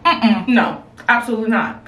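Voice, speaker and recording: A woman's voice: a little high, normal speed, echoey room, far from the mic. A young woman talking casually to her camera at home, giving blunt, slangy advice. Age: young adult. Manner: blunt, slangy, animated reactions. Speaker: advice vlogger reading submissions. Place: room at home. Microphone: camera, later phone.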